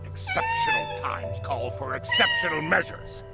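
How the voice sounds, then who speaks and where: An alarmist, defiant sect elder in a game drama. The voice is high and gravelly, with huge pitch swings. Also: male voice, wildly swooping pitch, unhurried, over loud music, full character voice. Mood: urgent defiance. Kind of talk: dramatic video game acting.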